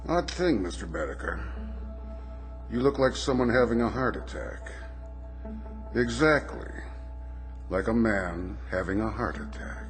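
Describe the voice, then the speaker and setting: A man's voice: on the low side, animated, a little slow, long pauses. An actor playing a suave, mocking devil in a radio drama, taunting as he offers a bargain. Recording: music under the voice.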